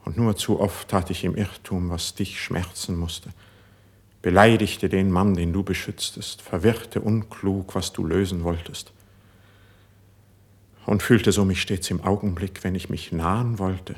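A deep, faintly husky male voice plays an impassioned court poet. He declaims verse with lofty, measured melancholy, in the manner of a classic stage-style radio play. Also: steady tone, heavily acted. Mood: anguished confession.

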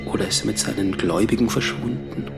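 An actor playing a solitary, reflective last man on earth in a radio drama, brooding and measured, with music behind him. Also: on the low side, creaky. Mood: dry, wondering. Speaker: actor narrating a radio play. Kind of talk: literary radio drama monologue.

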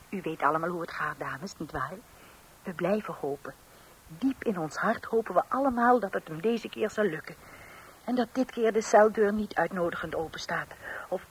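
A woman's voice: medium pitch, a bit bright, slightly rough, low-quality recording. An actress in a scripted radio comedy playing a long-suffering, sceptical housewife and mother with a wry, theatrical manner. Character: exasperated, sceptical middle-aged housewife and mother. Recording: hissy old radio play recording.

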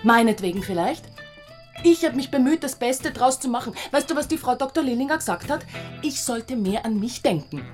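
A woman acts out an exasperated girlfriend in a radio play. Her Austrian voice sits a little high as she scolds with heavy sarcasm and emphasis. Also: expressive, brisk, a little mellow, soft background music, close to an everyday voice. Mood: angry, hurt.

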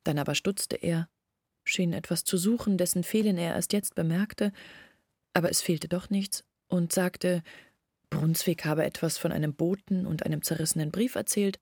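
An embittered young village woman in an intimate literary radio play. Her voice is fairly low and gravelly, recorded very dry and close. She confides her family's shame earnestly, with measured phrasing. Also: fairly even intonation, darkish tone, no room sound. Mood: reflective, recounting. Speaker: radio drama actor.

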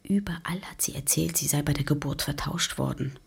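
Eine Schauspielerin spielt in einem Hörspielmonolog eine müde alleinerziehende Mutter mittleren Alters, rau, nachdenklich und vertraulich. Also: female voice, fairly quick, exaggerated voice. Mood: weary, reflective. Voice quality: husky, low. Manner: reflective, confiding. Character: weary single mother doubting her strange daughter. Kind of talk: radio drama monologue.

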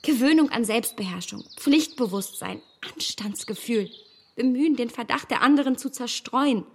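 An actress in a radio play as a self-possessed young gentlewoman, measured and gently reproving. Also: on the high side, a little hiss or hum, natural voice. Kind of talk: radio drama acting.

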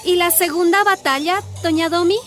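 Radio drama: keen young female student, very high voice, polite, light music behind. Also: fairly even intonation, moderate pace. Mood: eager, questioning. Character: eager, respectful young woman student. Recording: soft music underneath.

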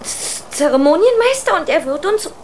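An imperious royal girl in a children's radio drama. The voice is high and very lively, with grand formal phrasing and small stumbles, over faint background noise. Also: normal speed. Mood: insistent, grand. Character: imperious royal child, princess of a hard-up family. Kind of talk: German children's radio play acting.

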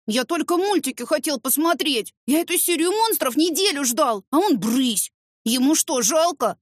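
A woman voicing an energetic young son in an audiobook, earnest and sulky in a childlike way. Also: sits high, moderate pace, no room sound, studio quality, pitched above the performer's own voice. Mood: sulky, indignant. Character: energetic young red-haired son, loud, sulky, candid.

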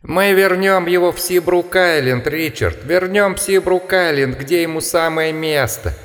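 A man voicing a jeering chorus of inhuman beast voices, chanting and drawn-out, howling. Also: fairly high pitch, fairly bright tone, big-room sound, pitched above the performer's own voice.

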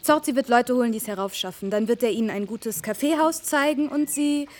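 Woman acting a high-voiced, bossy young housekeeper. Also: a little fast, lightly acted. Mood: brisk, matter-of-fact. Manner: bossy, practical, matter-of-fact. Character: brisk, businesslike young woman running her family's household. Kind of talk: radio play acting.

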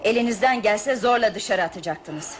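A woman as a defiant, animated train passenger. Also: medium pitch, normal speed, a little hiss or hum, natural voice. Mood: reproachful. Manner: firm, reproachful. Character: defiant, protective female passenger, indignant and principled. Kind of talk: Turkish radio drama acting.

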